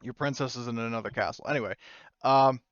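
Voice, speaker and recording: A man's voice: mid-range voice, fast, a bit bright, dry recording. A man acting as game master, casually narrating a tabletop game in informal language over lo-fi audio.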